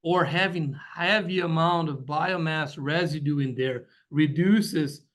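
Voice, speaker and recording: A man's voice: a little high, unhurried, a bit bright. A man, a guest weed scientist, giving a slide presentation in a webinar and explaining step by step with frequent "you know" and "right?" checks.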